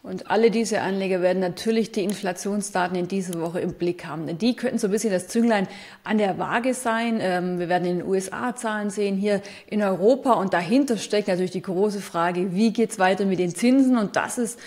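A woman in her thirties hosting a business news interview, asking brisk, leading questions. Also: mid-range voice, darkish tone. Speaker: host interviewer.

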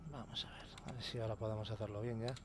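A man's voice: fairly low pitch, little pitch movement, racing, very noisy. A man with a Spain Spanish accent, casually commenting on gameplay, swearing and muttering to himself.

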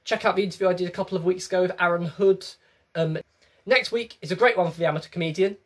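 Wry young man with a husky voice. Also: sits high, steady tone, fast talker. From England. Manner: wry, chatty, self-mocking. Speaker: comedian, web series host. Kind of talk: comic monologue to camera. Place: small bedroom with bookshelves.

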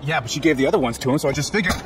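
A man voicing a frightened, excuse-making criminal henchman in a video game, hurried and pleading. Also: a little high, a little hiss or hum, natural voice. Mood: anxious, pleading.

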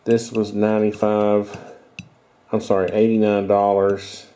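Middle-aged man, stuffy and nasal from congestion, low mellow voice; rambling, self-correcting home-video host on a headset mic. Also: completely flat delivery, unhurried. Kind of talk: casual show-and-tell talk.

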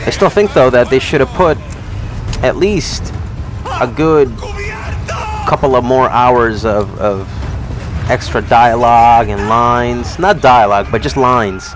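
Slow-paced, trash-talking male gamer. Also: medium pitch. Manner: mocking, trash-talking, slangy. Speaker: gaming streamer. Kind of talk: casual profane let's-play commentary. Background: video game music and effects.

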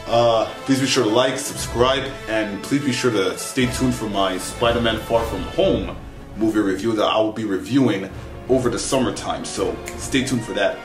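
A young man talking casually to camera in an animated, rambling, exclamatory way, as a vlogger giving a review. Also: on the low side, normal speed. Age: young adult. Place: small indoor bedroom with bunk beds. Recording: echoey room, music behind. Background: music.

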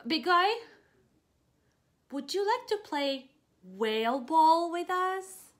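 A woman voicing a small, earnest, excitable animal friend from a picture book, speaking emphatically. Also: very high-pitched, slow, voice pushed up, full character voice. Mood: friendly, eager. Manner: emphatic, exclaiming.

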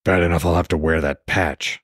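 A man voicing a weary, stubborn old Norse god in a terse, measured way for an audiobook. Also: deep voice, steady tone, fairly bright tone, no echo, clean studio sound, voice pushed down. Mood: firm, weary.

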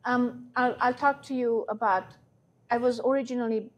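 High-pitched, emphatic Hungarian-accented woman. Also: fairly even intonation, moderate pace, dull audio. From Hungary, born in Romania. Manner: emphatic, opinionated, repeats points for stress. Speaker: interview guest, small business owner. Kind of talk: conversational interview answers. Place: classroom, indoors. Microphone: lapel mic.

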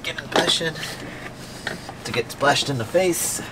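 A man's voice: a little high, a little slow, noisy background. A man in his thirties hosting a sailing vlog, talking casually with fillers as he explains what he is doing on his boat. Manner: explaining step by step, chatty with fillers. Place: aboard a sailboat, cabin and deck.

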